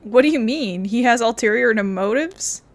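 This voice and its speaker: A woman's voice: on the high side, lively intonation, moderate pace. A woman casually commenting over a video game as she plays, at times reading dialogue aloud. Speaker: gamer narrating a playthrough.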